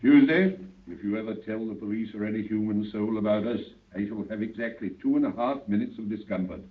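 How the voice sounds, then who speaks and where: An actor playing an imperious, scornful anarchist president with pompous, sarcastic courtesy, in 1930s radio drama style. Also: male voice, on the low side, brisk, recorded from a distance, natural voice. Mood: mock courteous. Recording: muffled, phone-like old 1930s radio recording.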